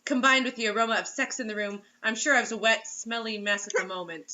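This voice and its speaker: A woman with a high, bright voice reads a story aloud on a dull, lo-fi recording. She is giggly and slips into incredulous asides as she goes. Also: steady tone, quick pace. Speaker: reader and co-host mocking bad fiction. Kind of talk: reading fiction aloud with joking asides.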